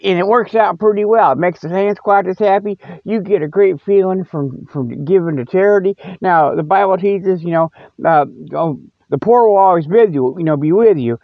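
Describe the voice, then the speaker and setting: A man's voice: high voice, normal speed, dry recording. A man hosting a comic report in a casual, rambling, folksy monologue, often repeating himself. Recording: dull, dry lo-fi audio.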